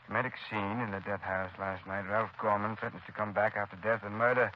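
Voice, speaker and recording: A man's voice: lowish voice, little pitch movement, brisk. Man in 1930s radio drama, formal. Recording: dull old radio recording, faint hiss.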